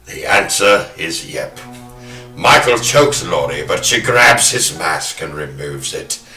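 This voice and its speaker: A man with a low-pitched, forceful voice hosts a film podcast, narrating with dramatic flair. The room rings around him, and there is some music in the recording. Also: animated, slow pace. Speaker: podcast host. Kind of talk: scripted narration of a film plot and round-table film talk. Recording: roomy echo, faint music.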